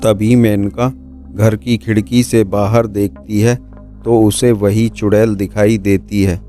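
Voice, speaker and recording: Slow, low-pitched male storyteller. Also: flat delivery, dark tone. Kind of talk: scripted narration of a horror folk tale for cartoons. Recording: music behind the voice.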